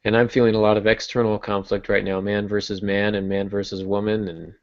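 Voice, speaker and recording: A man's voice: fairly low pitch, level tone, fairly quick. A man hosting a podcast, talking casually about the craft of writing. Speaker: podcast host. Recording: muffled lo-fi podcast audio.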